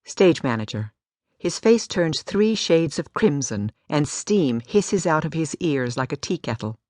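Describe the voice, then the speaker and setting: A woman's voice: sits low, big pitch swings, measured pace, darkish tone, no room sound, clean studio sound. A woman giving a scripted story narration as an audiobook narrator. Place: dry studio.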